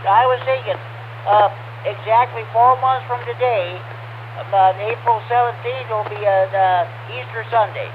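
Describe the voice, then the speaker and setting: A man's voice: high-pitched, fairly even intonation, moderate pace. A man in his sixties running a ham radio net as net control, chatting in a rambling, filler-heavy way. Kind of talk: casual chat over ham radio. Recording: lo-fi radio transmission with clear hiss.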